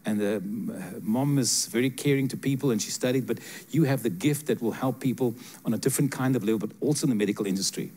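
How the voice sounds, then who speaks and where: An older man giving prophetic words to listeners in a church sanctuary, speaking with a handheld mic in a lively, joking, direct manner. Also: mid-range voice, fast, hardly any pauses. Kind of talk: prophetic ministry to congregation. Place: church sanctuary with seated congregation.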